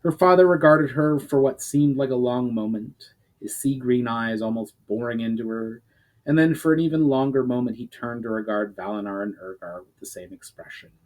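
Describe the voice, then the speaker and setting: A man narrating a fantasy novel as a dramatic reading, in an expressive, deliberate storytelling manner. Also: mid-range voice, low-quality recording. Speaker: author reading his own book.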